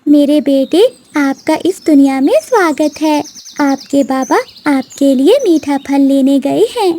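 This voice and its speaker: A woman voicing a gentle, anxious mother sparrow in a cartoon, tender and motherly. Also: sits high, a little slow.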